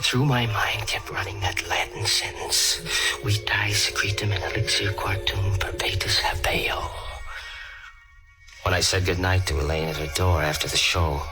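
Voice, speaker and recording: A man's voice: low voice, steady tone, normal speed, in a whisper, lightly acted. A male actor playing an obsessed, scheming chemistry teacher who tells his own story in a dramatic, brooding old-time radio mystery style. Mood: uneasy, haunted. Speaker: narrator and lead actor in a radio play. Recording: vintage radio recording with loud music behind.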